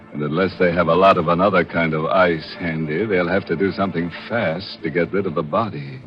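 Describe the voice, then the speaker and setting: A macabre horror host from vintage radio, played by a male actor. His very low voice is sardonic and mock-sinister. The old recording is dull, with faint music behind. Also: fairly even intonation, normal speed, close to an everyday voice. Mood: ghoulish amusement. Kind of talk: 1940s radio horror hosting.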